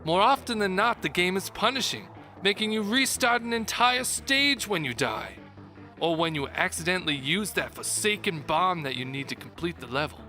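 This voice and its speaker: A man's voice: high voice, expressive, normal speed, bright, forceful tone. A man giving a dramatic, mock-grand reading of a scripted game review. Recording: music behind the voice. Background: background music.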